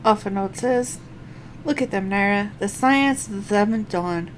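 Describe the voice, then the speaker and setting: A woman live-streaming a game, reading screen text aloud and thinking out loud in a halting way. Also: mid-range voice, rough recording. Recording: faint background noise.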